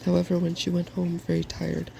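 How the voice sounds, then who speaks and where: Very creaky, soft-spoken storybook narrator. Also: medium pitch, completely flat delivery, fairly quick, a little hiss or hum, low-quality recording. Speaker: narrator reading fairy tales.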